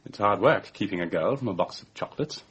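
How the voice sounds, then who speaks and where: An actor playing a brisk, plain-spoken English doctor in a radio drama, matter-of-fact in manner. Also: male voice, on the low side, animated, a bit bright, slightly rough, dull audio, heavily acted.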